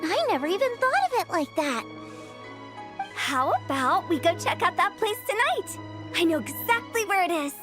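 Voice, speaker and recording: A woman playing a cheeky, lively, carefree teenage girl in a video game, breezy and playful. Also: extremely high pitch, moderate pace, music in the background. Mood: playful, coaxing. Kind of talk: English video game voice acting.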